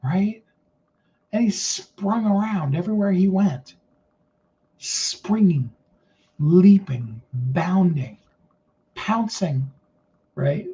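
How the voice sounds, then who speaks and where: A middle-aged man with a naturally high voice giving an earnest, rambling motivational talk with many fillers and restarts. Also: lively intonation, very slow, pauses often, mellow, highs cut off. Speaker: motivational speaker.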